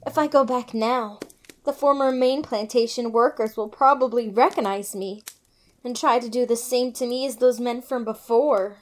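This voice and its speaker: A woman voicing a gentle, frightened young heiress in a dramatic comic dub, speaking politely and earnestly. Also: a little high, steady tone, normal speed, close to an everyday voice. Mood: earnest, sombre. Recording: voice over background music.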